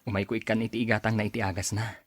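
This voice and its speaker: A man playing an engineer and younger brother, proud but able to soften, in an Ilocano radio drama. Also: fairly low pitch, little pitch movement, racing, no room sound, close to an everyday voice. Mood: subdued, softened. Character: engineer younger brother, reluctant then humbled helper of relatives.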